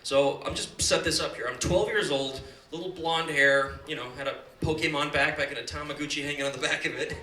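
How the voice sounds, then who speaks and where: A young man doing a stand-up set at a comedy bar, chatty and swearing, with quick asides. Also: a little high, fairly even intonation, sharp tone, a little hiss or hum. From Iceland, raised in the United States. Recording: echoey club from far off, audience.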